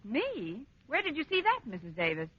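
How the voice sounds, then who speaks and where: A woman playing a wry, quick-witted schoolteacher in a 1940s radio comedy, dry and wisecracking. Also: sits high, wildly swooping pitch, sharp tone, exaggerated voice. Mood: amused, dry. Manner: dry, wisecracking, crisply articulated. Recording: dull, noisy 1940s radio recording.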